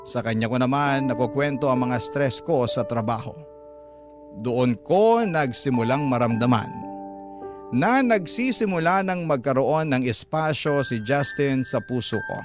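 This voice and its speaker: A man with a Filipino accent reading out a young woman's emotional confession as measured, heartfelt radio storytelling. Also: mid-range voice, close to an everyday voice. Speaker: radio host reading a listener's letter. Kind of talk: dramatic first-person radio storytelling. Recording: loud music bed under voice. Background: music.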